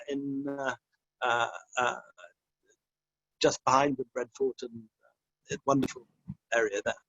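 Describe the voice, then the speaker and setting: A man's voice: mid-range voice, no echo. Wry Scottish-born man on a dull line. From Scotland. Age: middle-aged. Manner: wry, rambling, self-deprecating, laughs often. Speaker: guest. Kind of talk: casual interview answers.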